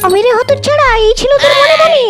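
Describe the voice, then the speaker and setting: A woman voicing a dramatic, doting, quick-tempered woman in a comedy cartoon, exaggerated in delivery. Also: very high voice. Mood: dramatic, fussing. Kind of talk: Bengali comedy cartoon dubbing. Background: music.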